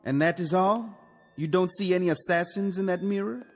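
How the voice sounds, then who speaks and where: An actor playing a conscience-stricken revolutionary officer, sardonic and brooding, in a mid-century television drama. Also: male voice, high-pitched, moderate pace. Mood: challenging. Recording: old TV soundtrack, reverberant, music behind.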